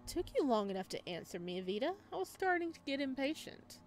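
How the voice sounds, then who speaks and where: A woman's voice: high-pitched, big pitch swings, quick pace, soft background music, pitched above the performer's own voice. Woman voicing a smug, teasing male stalker. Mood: impatient, smug. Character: smug, menacing flirtatious male stalker, an organ harvester.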